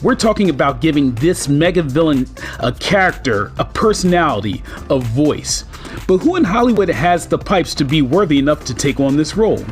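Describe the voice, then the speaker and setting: A man with a fairly high-pitched voice narrates as the host of an online video. He speaks to fans informally and with emphasis, over light background music. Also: sing-song, normal speed. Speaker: YouTube host. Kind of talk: scripted narration for an entertainment video.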